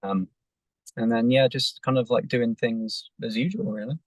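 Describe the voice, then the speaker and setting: Hesitant English musician, dry car sound. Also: male voice, medium pitch, moderate pace, dry recording, rough recording. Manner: hesitant, many fillers and restarts. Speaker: band member. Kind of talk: casual interview chat. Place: inside a parked car.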